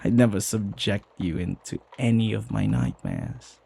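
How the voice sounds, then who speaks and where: A flirty, lovestruck butler acted by a man for an ASMR roleplay. His voice is husky and mellow, with very unhurried pacing. He speaks tenderly, teasing and hesitating as he dotes on his beloved master. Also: fairly low pitch, huge pitch swings, full character voice. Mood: tender, flirtatious. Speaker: voice actor.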